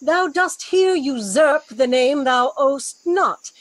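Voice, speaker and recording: A woman playing a stern, commanding sorceress mother in a Shakespearean audio drama, theatrical and sharp in rebuke. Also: sits high, animated, takes it slowly, no echo. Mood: stern, scolding.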